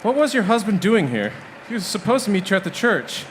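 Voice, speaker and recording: A man's voice: high voice, sing-song, brisk, slight background noise. A man narrating a comic radio drama in the second person, playful and wry.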